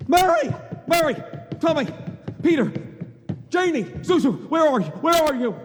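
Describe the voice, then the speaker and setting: A man's voice: extremely high pitch, very animated, measured pace, a little hiss or hum, full character voice. A man in a live radio play acting a frantic, bewildered everyman husband who stammers and repeats himself. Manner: stammering, repeating words in agitation. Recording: live hall, long reverb.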